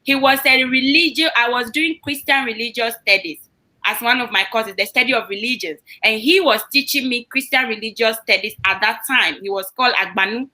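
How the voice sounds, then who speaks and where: A woman's voice: fairly high pitch, brisk, pushed and bright. A woman, founder of a girls' advocacy group, telling stories with passion in a studio interview and quoting what others said. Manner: impassioned storytelling, quoting others.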